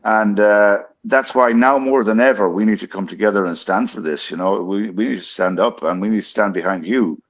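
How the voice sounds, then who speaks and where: A man in his fifties speaks haltingly in a fairly low voice. The audio is dull and lo-fi, lacking its top end. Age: 50s. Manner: halting, many fillers and restarts. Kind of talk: casual panel chat.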